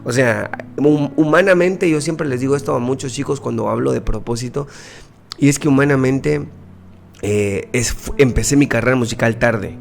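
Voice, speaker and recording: Earnest young Guatemalan guest, gravelly voice, faint music under it. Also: male voice, mid-range voice, moderate pace. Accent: Guatemalan Spanish. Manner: earnest, repeats words as he talks. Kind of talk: casual testimony interview.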